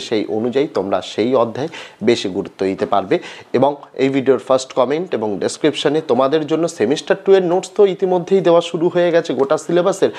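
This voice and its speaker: A man's voice: mid-range voice, a little mellow. A man explaining an exam pattern to students as a channel's teacher, repeating points and asking and answering his own questions.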